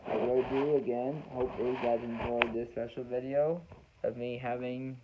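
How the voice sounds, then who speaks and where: A man talking casually and reminiscing to viewers as a hobbyist host, in a halting way with repeated phrases. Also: medium pitch, steady tone, heavy background noise. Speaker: weather radio hobbyist hosting a video. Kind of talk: casual, reminiscing vlog talk. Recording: dull, lo-fi home recording.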